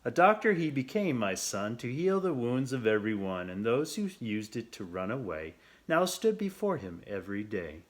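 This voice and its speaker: A man's voice: medium pitch, very animated, moderate pace, hardly any pauses, lightly acted. Man playing a lilting storybook chick-pig mother. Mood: reassuring. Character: gentle chick-pig mother telling a bedtime tale.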